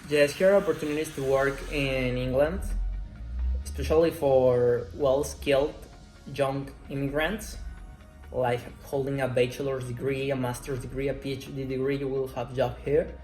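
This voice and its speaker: A man in his twenties, a Spanish speaker, answering interview questions in slow, careful English. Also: medium pitch, level tone, darkish tone. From Mexico. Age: 20s. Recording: roomy echo, faint music.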